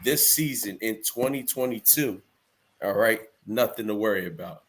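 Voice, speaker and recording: A man co-hosting a sports podcast, agreeing in a relaxed, easygoing way. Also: on the low side, normal speed. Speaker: podcast co-host. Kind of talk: casual sports chat.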